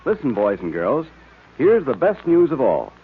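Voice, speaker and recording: An American man announcing on a 1940s radio show in an emphatic, enthusiastic sales style with a husky voice. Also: mid-range voice, wildly swooping pitch, moderate pace, slight background noise. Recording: tinny vintage radio recording.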